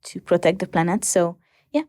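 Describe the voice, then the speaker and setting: A woman's voice: on the low side, animated, normal speed, a little mellow, no room sound. Woman, Hungarian accent, hesitant, interview guest, dry close mic. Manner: hesitant, many ums and restarts. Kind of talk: casual interview answers.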